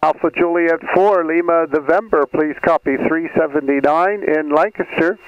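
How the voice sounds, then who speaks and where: Higher-pitched creaky man working ham radio. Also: moderate pace. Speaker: amateur radio contest operator. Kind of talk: clipped radio contact exchanges using phonetic alphabet. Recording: dull, lo-fi audio with noise.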